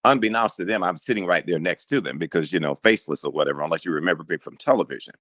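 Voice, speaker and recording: A man who anchors radio news, talking casually in an interview, telling stories with emphatic, animated asides. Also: low voice, rapid-fire, dry recording, clean studio sound.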